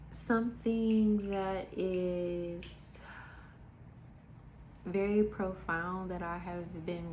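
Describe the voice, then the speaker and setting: Young woman, twenties, unhurried delivery, candid, swears freely, motivational vlog talk. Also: lowish voice, noisy background. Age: 20s. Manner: candid and emphatic, swears freely, calls the listener honey. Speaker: spiritual vlogger. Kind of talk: casual motivational monologue.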